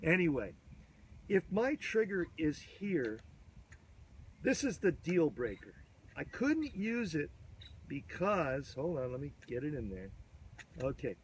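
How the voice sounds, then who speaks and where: A man in his fifties, a bit hoarse from a cold, giving an enthusiastic, rambling gear tutorial outdoors on a field, filmed on a phone at arm's length. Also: fairly high pitch, big pitch swings, slow, long pauses, a little hiss or hum. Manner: chatty, enthusiastic, rambling with restarts. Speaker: photography instructor. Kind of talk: casual gear tutorial to camera. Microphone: phone or camera at arm's length.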